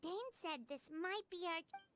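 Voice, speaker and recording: A woman voicing a small, excitable girlish fairy companion who chatters in a chirpy, easily alarmed way, over game music. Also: extremely high pitch, unhurried, background noise. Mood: chatty. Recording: game cutscene with music behind.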